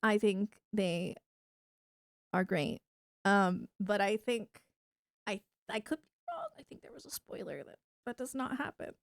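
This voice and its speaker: A woman's voice: mid-range voice, animated, a little slow, vocal fry, dry recording, clean studio sound. A woman livestreamer chatting casually with her viewers in an enthusiastic, emphatic gamer way. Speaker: video game livestreamer.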